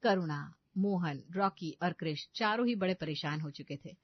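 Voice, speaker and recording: A woman's voice: lowish voice, moderate pace, no room sound, muffled recording. A woman narrating a scripted family moral tale in a storybook style.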